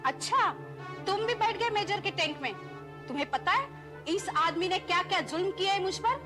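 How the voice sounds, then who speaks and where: An actress playing an indignant, sharp-tongued wife, theatrical and scolding in a broad sitcom style. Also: female voice, extremely high pitch, expressive, brisk, over music. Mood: defiant. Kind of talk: broad Indian TV sitcom acting.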